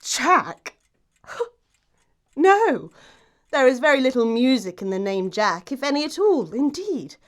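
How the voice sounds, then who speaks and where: A woman's voice: sits high, very animated, slow pace, long pauses, heavily acted. A woman playing a self-assured, romantic young upper-class English lady, poised and precise, in an audiobook stage comedy. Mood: dreamy, emphatic. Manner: poised, precise, grandly emphatic.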